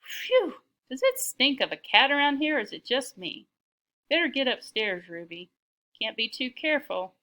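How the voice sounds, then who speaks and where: A woman's voice: high-pitched, very animated, normal speed, sharp tone, pitched above the performer's own voice, exaggerated voice. Woman doing a feisty old granny mouse. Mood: grumbling. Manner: folksy, hearty, blunt.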